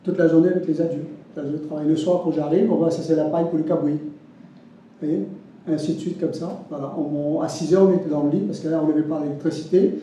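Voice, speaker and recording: A man in his seventies from Réunion with a Réunionese French accent, telling his life story haltingly, with repeats and restarts. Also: on the high side, fairly even intonation, warm tone, a little hiss or hum. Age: 70s. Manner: halting, repeats words, many restarts and fillers. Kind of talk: spoken testimony. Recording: distant mic, roomy, dull and lo-fi.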